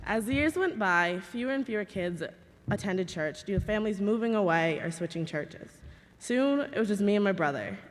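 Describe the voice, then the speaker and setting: A young woman from Massachusetts, hoarse from a cold, telling her story in a chatty, giggly way. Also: medium pitch, fairly bright tone. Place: large cathedral. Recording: long hall reverb with crowd.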